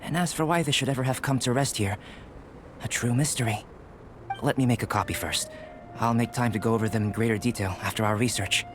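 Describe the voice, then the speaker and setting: A man playing a young alchemist in a video game, polite and curious, speaking in a measured, formal, thoughtful way. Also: mid-range voice, background noise, natural voice. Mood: pensive. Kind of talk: English video game character dialogue. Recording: music behind the voice.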